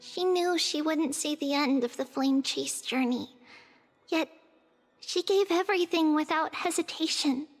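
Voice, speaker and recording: A woman voicing a small, gentle, childlike female companion in a video game, speaking tenderly. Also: sits high, unhurried, long reverb tail, faint music behind. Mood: tender, consoling. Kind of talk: scripted game voice acting.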